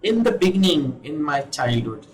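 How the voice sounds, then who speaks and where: A man's voice: on the high side, very animated, moderate pace. A man in his fifties from India with an Indian accent, giving a patient one-on-one English lesson over a video call. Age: 50s. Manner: patient, repeats and checks understanding. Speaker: English teacher. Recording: echoey, noisy video call.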